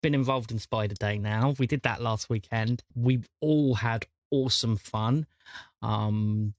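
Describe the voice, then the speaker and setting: A man's voice: medium pitch, unhurried, dry recording. Man thinking aloud, dry close sound. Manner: hesitant, repeats words as he thinks aloud. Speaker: game master and podcast host. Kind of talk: casual podcast chat.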